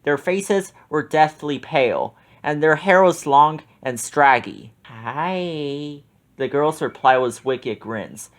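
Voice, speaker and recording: A man reading a children's book aloud in an expressive, lively way. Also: on the high side, slow pace, hardly any pauses.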